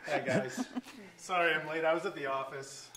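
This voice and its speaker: A jokey, rambling middle-aged bureaucrat played by an actor in a staged comedy reading. The voice is mid-pitched and sounds roomy and set back from the mic. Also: moderate pace, natural voice. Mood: jokey, rueful. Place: museum auditorium stage. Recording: roomy, distant mic, faint noise.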